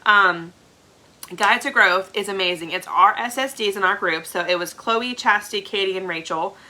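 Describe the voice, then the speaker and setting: Chatty woman, bright and congested. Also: mid-range voice, moderate pace, poor audio. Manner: chatty, repeats "okay?" to check in. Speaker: direct sales team leader. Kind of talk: live training for new sellers. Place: home room with a product shelf.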